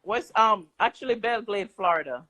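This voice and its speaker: A woman's voice: mid-range voice, lively intonation, moderate pace, sharp tone. A woman with a Jamaican accent, calling in from home to a live talk show. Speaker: caller. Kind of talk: casual call-in chat.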